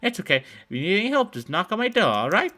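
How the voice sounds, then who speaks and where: A man's voice: sits high, sing-song, fast talker, bright, forceful tone, pitched above the performer's own voice, heavily acted. A man voicing a sweet-sounding old woman of about sixty with a cooing, sugary manner. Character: sweet-talking grandmotherly old woman, sinister undertone.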